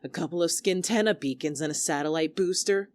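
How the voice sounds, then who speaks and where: A woman's voice: lowish voice, brisk, no echo, lightly acted. A woman playing a domineering, scornful young female squad leader in a dramatic argument, biting, sarcastic and commanding. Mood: dismissive. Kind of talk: dramatic two-character argument performance.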